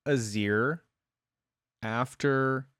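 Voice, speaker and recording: A man's voice: mid-range voice, extremely slow, clean tone, studio quality. A man hosting a reaction video, commenting casually and expressively. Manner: expressive, echoing lines he hears.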